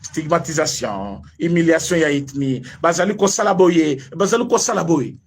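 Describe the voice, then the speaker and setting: A Congolese man with a strongly husky, breathy voice pitched high for a man. He delivers heated political commentary with a Congolese French accent. His pitch swings widely as he fires off rhetorical questions. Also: lively intonation, moderate pace. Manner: emphatic, rhetorical questions, mixes French and Lingala. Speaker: online commentator.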